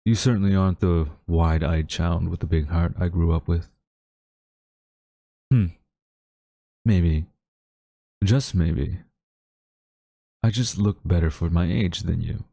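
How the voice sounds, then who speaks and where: A man playing a haughty, wistful government agent in a dramatic audio roleplay, speaking in a smug, deliberate, theatrical way to a captured former friend. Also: low-pitched, steady tone, creaky, mellow, no echo, full character voice. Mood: smug taunting.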